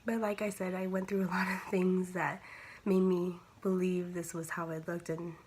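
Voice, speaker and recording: A young woman with a slightly raspy voice from a cold, talking candidly and casually in a personal vlog. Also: fairly low pitch, little pitch movement, moderate pace, darkish tone. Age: young adult. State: recovering from a cold. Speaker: vlogger. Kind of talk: casual personal talk to camera. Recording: clear background noise, homemade vlog.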